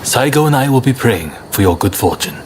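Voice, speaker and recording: Measured, trusting conspirator male actor, game cutscene; medium pitch, earnest, faint ambience behind. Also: animated, a little hiss or hum, lightly acted. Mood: earnest, confident. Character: composed, trusting male conspirator giving a mission. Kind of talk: video game cutscene acting.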